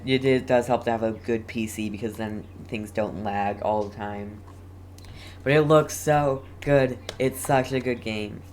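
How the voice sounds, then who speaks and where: A video game streamer giving casual live commentary and chatting with viewers, halting and often repeating words. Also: medium pitch, a little hiss or hum.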